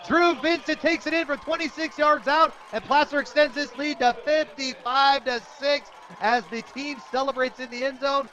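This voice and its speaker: An American man doing live radio play-by-play of a football game in an energetic, conversational way. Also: extremely high pitch, moderate pace, few pauses, lo-fi sound. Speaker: radio play-by-play sports announcer. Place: outdoors at a high school football game. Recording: outdoor stadium broadcast with crowd noise. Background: crowd at a stadium.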